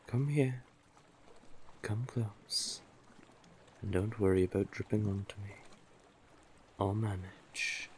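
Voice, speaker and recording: A man acting an older male sea witch, very slow delivery, deep voice, doting, coaxing, intimate fantasy audio drama. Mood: tender, coaxing. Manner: soothing, coaxing, doting, deliberate. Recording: clearly noisy, sea-cave ambience effects.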